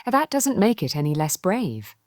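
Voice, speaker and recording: Woman as big kindly male bear, wise, gentle, reassuring, lilting intonation, children's storybook animal. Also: fairly low pitch, very animated, moderate pace, no room sound, clean studio sound, pitched above the performer's own voice.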